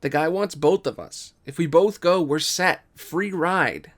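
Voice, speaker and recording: A man's voice: on the high side, measured pace, fairly bright tone, pitched above the performer's own voice. A man voices an ambitious young brother in a storytelling podcast. He is eager and persuasive, pressing his case in a slightly raised voice. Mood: urgent coaxing. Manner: urging, coaxing.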